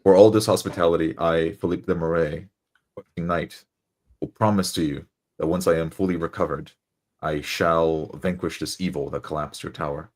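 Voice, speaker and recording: A man's voice: low-pitched, fairly even intonation, moderate pace. A man voicing a pompous noble knight from the north, speaking in a grand, overly formal manner. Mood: grandly vowing.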